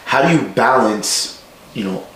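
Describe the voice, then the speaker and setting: A man co-hosts a casual podcast in a playful, joking way and urges the guest to tell more. His pitch is middle. He sounds distant from the mic in a strongly echoing room with a faint hiss. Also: animated, unhurried, fairly bright tone. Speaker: podcast co-host. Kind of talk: casual interview hosting. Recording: echoey room, away from the mic.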